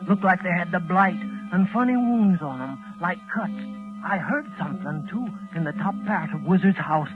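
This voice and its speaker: A spooked young farm villager in an old radio drama. His voice is high, full of uneasy wonder and country grammar. The sound is thin and tinny, with music behind. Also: expressive, normal speed. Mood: uneasy awe. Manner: rustic, dialect grammar, hushed wonder. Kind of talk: 1940s radio horror acting.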